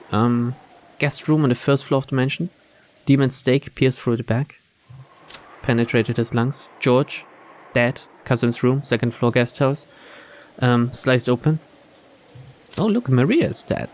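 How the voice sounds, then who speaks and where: A man's voice: medium pitch, darkish tone, a little hiss or hum. A man hosting a let's-play, reading game text aloud and commenting casually. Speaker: gameplay host.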